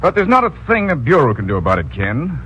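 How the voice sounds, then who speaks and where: Um ator interpretando um chefe de agência cauteloso e hesitante, em drama de rádio antigo. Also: male voice, fairly high pitch, huge pitch swings, fast, fairly bright tone, slight background noise. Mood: cautious. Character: cautious male bureau chief. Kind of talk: 1950s radio acting. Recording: dull old radio broadcast recording.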